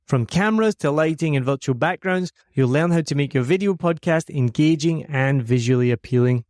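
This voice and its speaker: A man's voice: on the high side, fairly quick, a little mellow, dry recording. A Scottish man hosting his podcast from a home studio, delivering an upbeat, earnest scripted monologue. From Scotland. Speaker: podcast host.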